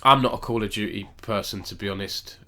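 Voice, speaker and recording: A man's voice: on the low side, brisk, bright, forceful tone, a little hiss or hum. A man with a cold, sounding nasal as he hosts a rambling, self-correcting live-stream esports news roundup and chats with viewers.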